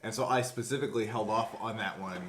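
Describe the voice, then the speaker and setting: A man's voice: lowish voice, fairly even intonation, quick pace, a little hiss or hum. A man chatting casually as host and game master on a podcast. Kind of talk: casual chat about a recorded tabletop game show. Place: indoors, in one room with the co-hosts.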